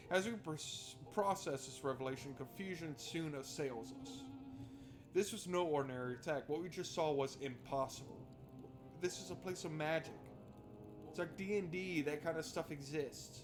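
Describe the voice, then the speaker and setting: Higher-pitched man, sarcastic game commentary. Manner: sarcastic, exasperated asides. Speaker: video game streamer. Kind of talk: let's play commentary with read-aloud narration. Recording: noticeable background noise.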